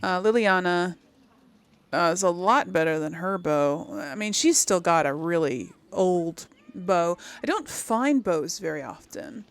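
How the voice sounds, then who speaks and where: A woman's voice: on the low side, animated, unhurried. A woman giving casual commentary as she plays a game, thinking aloud in a musing way. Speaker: gamer, series host.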